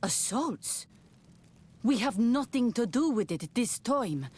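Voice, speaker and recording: A woman playing a proud, guarded rebel camp leader in a video game cutscene, speaking deliberately. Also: fairly high pitch, big pitch swings, darkish tone, husky, full character voice. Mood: defensive. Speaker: native camp leader character.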